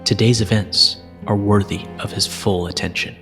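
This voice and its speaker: Low-voiced male narrator over music. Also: steady tone. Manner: solemn, measured storytelling. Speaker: narrator reading fan fiction. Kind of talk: scripted dramatic narration.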